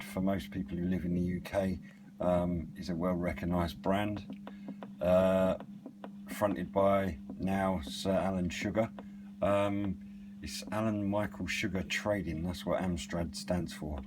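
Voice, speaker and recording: A man's voice: sits low, a little slow. A middle-aged British man giving a casual, unscripted product demonstration in a hesitant, understated, meandering way. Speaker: radio hobbyist and reviewer. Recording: clearly noisy with a steady hum.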